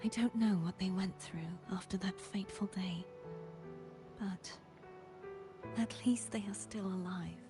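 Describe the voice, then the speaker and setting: A woman playing a gentle young knight in a video game, speaking in a measured, softly formal, wistful way. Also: mid-range voice, fairly even intonation, close to an everyday voice. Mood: wistful, resigned. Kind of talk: scripted video game voice acting. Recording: game audio with music underneath. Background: music.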